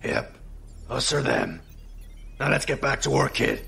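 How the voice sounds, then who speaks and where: A man playing a gruff, wry veteran crew boss in a space audio drama, with a gravelly, folksy way of talking. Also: medium pitch, animated, a little slow, sharp tone, heavily acted. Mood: admiring, reflective.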